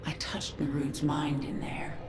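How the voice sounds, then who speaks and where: A woman's voice: low voice, completely flat delivery, unhurried, in a whisper. A woman playing a fierce, vengeful commander of an alien swarm in a video game, speaking with menacing authority. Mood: grim resolve. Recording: echoey, distant, under loud game music.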